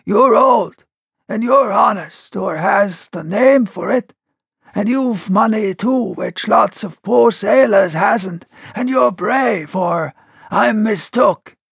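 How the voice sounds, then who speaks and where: An honest common sailor in a storybook adventure, acted by a male reader, pitched well up with a hoarse, trembling edge. He is earnest and brave though shaken, and speaks in rough seaman's grammar. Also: takes it slowly, a bit bright, no echo, clean studio sound, exaggerated voice. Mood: pleading, shaken. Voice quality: hoarse, shaking voice.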